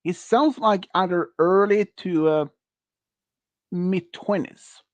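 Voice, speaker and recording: A man in his early thirties, speaking English as a second language, hosts a casual quiz. His voice sits a little high and he talks slowly, stopping and restarting as he searches for words. The sound is close and dry. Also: no room sound, dull audio. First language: not English. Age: early 30s. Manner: slow, halting, many restarts and fillers. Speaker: quiz host. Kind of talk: casual stream quiz chat.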